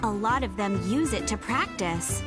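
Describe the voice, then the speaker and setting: A woman's voice: medium pitch, expressive, moderate pace, loud music behind the voice, lightly acted. A woman playing a cheery, encouraging young challenge host in a video game. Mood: friendly.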